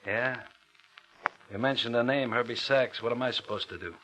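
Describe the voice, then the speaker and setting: A man's voice: medium pitch, normal speed, sharp tone, a little hiss or hum, lightly acted. An actor playing a brash, wary, shady novelty salesman with slick patter and an American accent in an old radio thriller. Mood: suspicious, needling. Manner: slick patter, calls everyone "brother". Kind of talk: 1940s-50s radio thriller acting. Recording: dull vintage radio recording.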